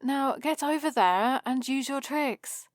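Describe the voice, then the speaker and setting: A woman's voice: high-pitched, takes it slowly, no room sound, clean studio sound. A woman playing a prim, bossy and exasperated vampire librarian in a scripted ASMR roleplay, scolding in a fussy, theatrical way. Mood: annoyed, haughty.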